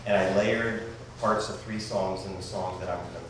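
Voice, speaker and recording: A man's voice: low voice, steady tone, normal speed. A man speaking informally to a group as a fellow workshop participant and performer. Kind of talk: informal sharing in a group circle. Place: large indoor classroom with wooden floor. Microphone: camera mic from across the room. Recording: echoey room, distant camera mic, noisy.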